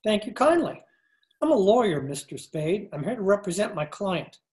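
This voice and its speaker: A woman's voice: medium pitch, big pitch swings, moderate pace, darkish tone, close to an everyday voice. A woman playing a polite, evasive mob lawyer in an old-time radio crime drama, formal and a little hesitant. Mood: courteous, businesslike. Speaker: amateur radio play actor. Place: at home, recorded over video call.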